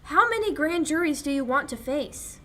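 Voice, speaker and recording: A young woman acting an anxious, sharp-tongued fiancée in a 1940s-style radio drama. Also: high voice, lively intonation, normal speed. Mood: worried, scolding. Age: young adult. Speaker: high school actor. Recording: background noise.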